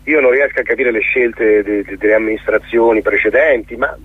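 A man's voice: lowish voice, a little fast, husky, dry recording. Man thinking aloud, muffled phone line. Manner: hesitant, searching for words, articulate. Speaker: theatre director, interview guest. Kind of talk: reflective radio interview. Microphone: telephone. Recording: muffled, thin telephone line on radio.

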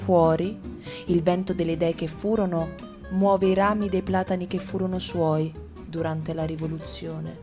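A woman reading a literary text for radio theatre in a measured, ironic storyteller tone. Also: on the low side, fairly even intonation, music in the background. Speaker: radio drama reader.

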